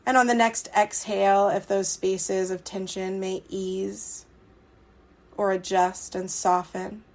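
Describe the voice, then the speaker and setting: A woman leads a guided yoga meditation in a mid-pitched voice that stays very level, with little melodic movement. She is soothing and unhurried, setting out short phrases and leaving long pauses between them. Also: level tone.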